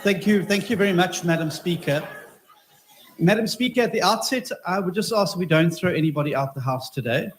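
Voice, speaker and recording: A man speaking as an opposition member of parliament. He delivers a formal, measured speech and keeps addressing the chair on points of procedure. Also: sits high, expressive, poor audio.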